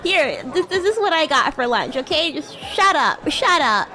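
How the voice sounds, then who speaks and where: A woman's voice: sits high, very animated, moderate pace, bright, forceful tone, pitched above the performer's own voice, full character voice. A woman voicing a bratty, flustered young female demon classmate in improvised livestream roleplay, teasing and stammering as she acts haughty and defensive. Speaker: VTuber streamer.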